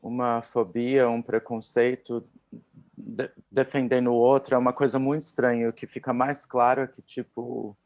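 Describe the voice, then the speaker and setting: A man's voice: medium pitch, flat delivery, moderate pace, darkish tone, dry recording. A man with a Brazilian Portuguese accent chatting casually on a video call, hesitant and full of fillers. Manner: hesitant, filler-heavy, says "tipo" often. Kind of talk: casual conversation.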